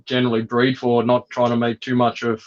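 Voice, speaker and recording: A man's voice: medium pitch, monotone, fairly quick. Man with a dull lo-fi sound. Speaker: snake breeder, guest. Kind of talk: casual chat.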